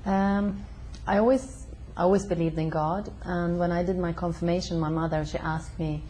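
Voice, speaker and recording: Low-voiced Swedish-born woman, hesitant. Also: fairly even intonation, dark tone. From Sweden. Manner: halting, hesitant, with many fillers. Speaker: interview guest. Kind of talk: conversational interview. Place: home.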